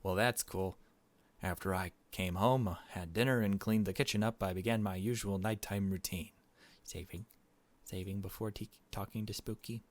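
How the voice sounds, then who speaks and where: Low-voiced man doing a let's play. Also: steady tone, normal speed. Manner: casual self-commentary between read passages. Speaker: gaming video host. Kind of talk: let's play reading aloud with casual asides.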